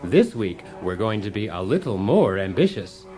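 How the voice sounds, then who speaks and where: An animated, oblivious DIY show host. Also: male voice, lowish voice, moderate pace, faint music behind. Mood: chipper, unflappable. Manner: chirpy instructor patter, unflappable. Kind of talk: radio comedy parody of instructional show.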